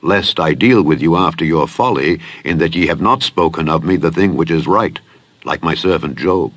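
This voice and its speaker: A man's voice: rumbling low, moderate pace, a bit bright, no echo. A man voicing a wrathful, commanding God with a stern, authoritative manner in a scripture audiobook. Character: wrathful, commanding male God pronouncing judgement.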